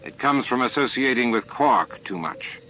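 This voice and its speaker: Gruff, matter-of-fact space station security man played by a male reader, dull lo-fi recording. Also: medium pitch, lively intonation, normal speed, close to an everyday voice. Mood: dry, grudging. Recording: muffled, band-limited tape audio.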